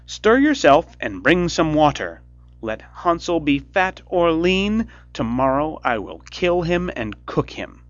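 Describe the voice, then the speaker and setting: A man doing a shrill wicked old witch. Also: very animated, takes it slowly, no echo, heavily acted. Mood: impatient. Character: wicked old female witch, cruel and scheming. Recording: dull lo-fi recording with faint music.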